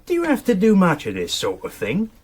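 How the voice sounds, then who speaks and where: An actor playing a courteous, sympathetic English domestic servant in a radio drama, with a formal, deliberate way of speaking. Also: male voice, high voice, huge pitch swings, darkish tone, husky, heavily acted. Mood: sympathetic, sedate. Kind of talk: British radio drama acting.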